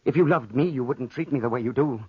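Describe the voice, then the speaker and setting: A man's voice: mid-range voice, fast talker, husky, no room sound, close to an everyday voice. A man playing a lovesick young soldier on the run in a 1940s radio drama, earnest, pleading and hesitant. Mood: wounded, pleading. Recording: muffled, dry 1940s radio recording.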